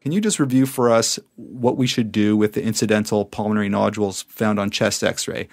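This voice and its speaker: Low, gravelly man hosting a medical podcast. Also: fairly even intonation, fairly quick, a little mellow. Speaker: podcast host, emergency physician. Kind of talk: conversational medical interview, summarizing and questioning.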